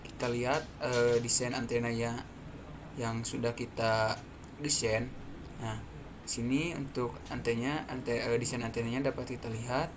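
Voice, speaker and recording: Seorang pria muda berlogat Indonesia menjelaskan demonstrasi perangkat lunak sebagai mahasiswa presenter, bicaranya tersendat-sendat. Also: male voice, mid-range voice, flat delivery, measured pace. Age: young adult. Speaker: student presenter. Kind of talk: explanatory software demonstration. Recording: clearly noisy background.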